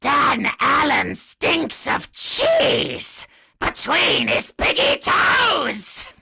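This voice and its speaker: A man voicing a silly, taunting cartoonish creature with drawn-out, mocking delivery over a noisy recording. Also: extremely high pitch, wildly swooping pitch, vocal fry, bright, forceful tone, voice pushed up, heavily acted. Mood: gleeful mocking.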